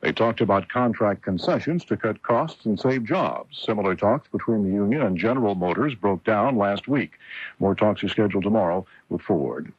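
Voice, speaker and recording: Male American news anchor, lowish and husky, reading scripted copy on dry, muffled vintage radio. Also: lively intonation, normal speed, no room sound. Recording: dull vintage AM radio broadcast.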